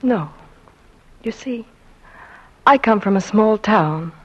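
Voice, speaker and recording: A young small-town wife with an American accent in a vintage drama. She is composed, proud and plainspoken, at a middling pitch. The audio is a little dull. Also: expressive, slow pace. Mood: hurt, controlled. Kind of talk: old radio drama acting.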